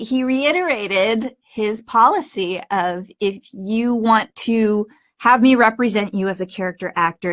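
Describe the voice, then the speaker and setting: A woman giving an informal instructional talk as a voice acting coach, speaking emphatically with stressed pauses and asides. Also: medium pitch, fairly bright tone.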